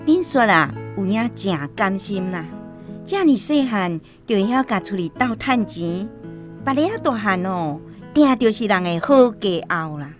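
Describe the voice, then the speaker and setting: A woman playing a chatty village neighbour in a radio drama, with a Taiwanese accent. Her mid-pitched voice is effusive and admiring, over soft music. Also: expressive, unhurried, close to an everyday voice.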